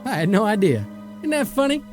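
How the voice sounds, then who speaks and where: Man playing mocking, breezy younger brother, very animated, music underneath, audio drama. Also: high-pitched, brisk. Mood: smug. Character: smug, breezy younger brother, taunting new husband.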